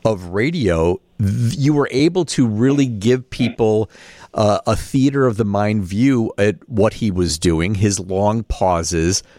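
A man's voice: lowish voice, slow, dark tone, dry recording. A man hosting a radio interview, moving between scripted introduction and conversational questions. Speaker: radio host.

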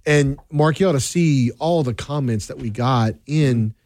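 A man from southern Louisiana hosting a casual radio talk show with a softer voice and a halting, conversational way of talking, close on a studio mic. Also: mid-range voice, no echo. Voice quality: softer, less projecting voice. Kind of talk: casual radio chat with listener messages. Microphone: close studio microphone.

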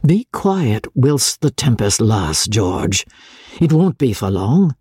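Kindly, steady gentleman captain for a period audiobook, acted by a narrator, husky, dry close sound. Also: mid-range voice, takes it slowly, dry recording, exaggerated voice. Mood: good-natured, reassuring. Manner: placid, reassuring. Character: Dobbin, patient good-natured loyal army captain, male.

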